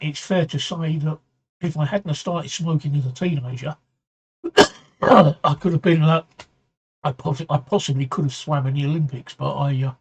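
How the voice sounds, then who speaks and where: Male livestream host, husky and going hoarse, halting casual chat with frequent throat clearing. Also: on the high side, darkish tone, dull audio. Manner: halting, repeats words, often clears throat. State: voice getting hoarse. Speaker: livestream talk show host. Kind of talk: casual livestream chat answering viewer comments.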